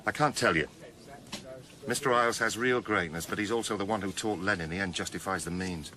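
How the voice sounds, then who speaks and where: An actor playing an earnest police detective, persuasive and blunt, in a naturalistic radio drama. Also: male voice, sits low, brisk, sharp tone. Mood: urgent, grim. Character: earnest, urgent police detective, charming with women. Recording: noisy ambient background.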